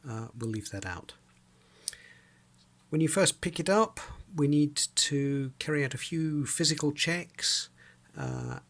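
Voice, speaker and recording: A man's voice: medium pitch, creaky, slightly rough. A man giving an informal instructional demonstration, explaining things plainly and methodically, step by step. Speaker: sailing club radio instructor.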